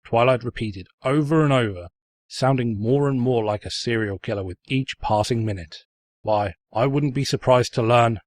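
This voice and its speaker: A man's voice: medium pitch, moderate pace, no echo, studio quality, close to an everyday voice. A man playing a sarcastic, pompous narrator who mockingly tells a comic fan fiction story. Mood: snide. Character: snide, mocking male storybook narrator who insults the heroine.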